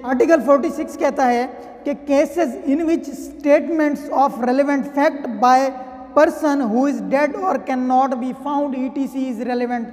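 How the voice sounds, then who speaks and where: A man's voice: very high-pitched, darkish tone. A man with a Pakistani accent giving an explanatory law lecture in a conversational way, reading out and explaining. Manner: conversational, reads clauses then explains them. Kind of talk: explanatory lecture with worked examples. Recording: long reverberant hall-like echo.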